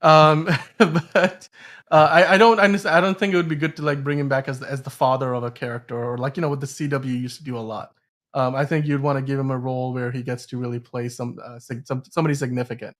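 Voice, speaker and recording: A man's voice: mid-range voice, flat delivery, fast, a bit bright. A man hosting a casual film discussion podcast, talking in a rambling, emphatic way and often stumbling over his words. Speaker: podcast host.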